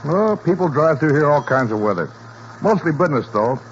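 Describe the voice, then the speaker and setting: A man's voice: fairly high pitch, big pitch swings, fairly quick. A folksy country gas station attendant, played by a man, talks in an easy drawl. The sound is dull and lo-fi with a faint hiss behind it. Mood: easygoing. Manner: folksy, drawling, plain-spoken. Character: laconic rural male gas station attendant. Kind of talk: radio drama acting.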